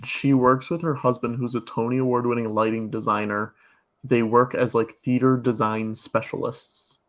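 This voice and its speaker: A man's voice: mid-range voice, flat delivery, moderate pace. A man chatting casually on a podcast, wry, often putting questions to the others. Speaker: podcast speaker. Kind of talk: casual chat.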